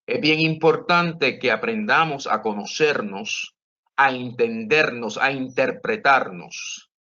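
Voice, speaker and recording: A man's voice: medium pitch, slow, sharp tone. Earnest Puerto Rican man, lo-fi sound. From Puerto Rico. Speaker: guest author. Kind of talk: reflective interview talk.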